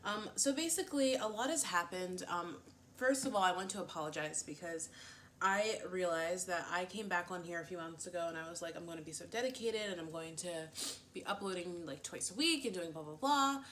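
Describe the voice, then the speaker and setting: A woman's voice: lowish voice, a little fast, fairly bright tone, slight background noise. A woman vlogging a casual get-ready-with-me chat, a little sniffly, full of fillers like "um" and "like". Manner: chatty, lots of fillers and "like". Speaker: beauty vlogger.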